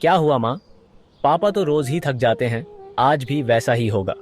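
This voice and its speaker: A man's voice: mid-range voice, normal speed, background noise, natural voice. A man voicing a grumbling, lazy young village son in a cartoon moral story, sulky and dismissive.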